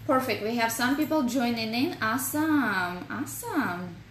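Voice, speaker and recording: A woman's voice: on the high side, animated, normal speed, a bit bright. A woman in her thirties with a strong Russian accent, a business coach talking casually on a live stream, greeting viewers and using many fillers. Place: home office, indoors. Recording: echoey room with faint noise.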